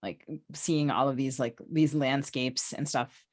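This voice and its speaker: A very dry, close recording of a woman. She hosts a conversational interview, feeling her way toward questions with many pauses and fillers. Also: rumbling low, fairly even intonation, darkish tone, no room sound. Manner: hesitant, filler-heavy, rambling lead-ins. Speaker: podcast host.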